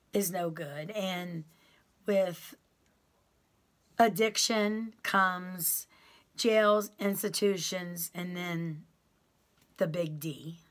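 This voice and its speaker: A middle-aged woman with a loud, carrying voice, telling a personal story to her viewers in a rambling, heartfelt way. She fills her talk with "um" and calls her audience "y'all.". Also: on the low side. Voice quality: loud voice that carries. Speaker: vlogger recounting her time in prison. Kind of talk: casual storytelling to camera.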